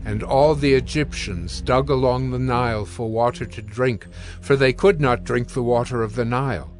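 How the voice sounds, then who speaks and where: A man narrating scripture from a script in a measured, formal storytelling manner. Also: medium pitch, steady tone, soft background music.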